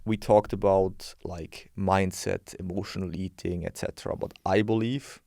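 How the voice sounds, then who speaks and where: A young man in his twenties talks frankly and bluntly, restarting sentences as he works out his view. His voice sits a little low, and the sound is close and dry, with no room echo. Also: steady tone, normal speed, vocal fry, warm tone. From Switzerland. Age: 20s. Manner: frank, opinionated, thinks aloud with restarts. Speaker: guest, bodybuilding and health coach. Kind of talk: casual podcast interview.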